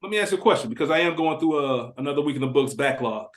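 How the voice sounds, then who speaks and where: A man's voice: a little high, lively intonation, very fast. A man hosting a casual podcast conversation, asking probing questions in slangy, informal speech, recorded with room echo. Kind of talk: casual chat. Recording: echoey room sound.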